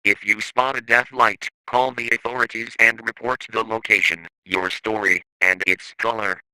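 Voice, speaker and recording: A man's voice: on the low side, fairly even intonation, normal speed, bright, forceful tone, smooth, dry recording, rough recording. A man reading scripted analog horror narration in a formal, matter-of-fact, instructional way, like an informational course.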